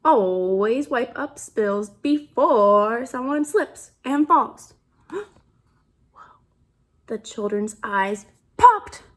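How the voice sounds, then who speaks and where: A woman reading a picture book aloud to children, expressively, pausing to comment and ask questions as a teacher would. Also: high-pitched, slightly rough. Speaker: children's storybook reader, teacher.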